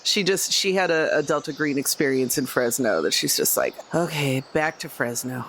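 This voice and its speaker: A woman's voice: a bass voice, animated, moderate pace, a bit bright, slight background noise. A woman chatting casually and informally as a player in a tabletop role-playing game.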